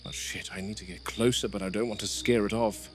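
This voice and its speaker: Game warden, played by a male actor: low-pitched, urgent, muttering and cursing, found-footage horror, prominent music underneath. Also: fairly even intonation, brisk, natural voice. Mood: intent, determined. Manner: urgent, muttering to himself, swearing. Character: tense, dogged adult male game warden tracking an escaped dinosaur. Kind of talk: found-footage horror acting, spoken field log.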